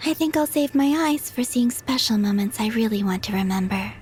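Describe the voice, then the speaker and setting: A woman voicing a soft, dreamy ethereal maiden. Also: fairly high pitch, fairly quick, lightly acted. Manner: soft, calm and wistful. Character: gentle ethereal young woman, serene and dreamy. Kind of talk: video game cutscene dialogue.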